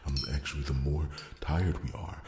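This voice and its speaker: A man playing a gentle, earnest dwarf blacksmith in an ASMR fantasy roleplay, stammering deferentially in a hushed half-whisper. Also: extremely low pitch, steady tone. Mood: modestly proud. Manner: halting, stammering, deferential, repeats his words. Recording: roomy echo, faint background noise.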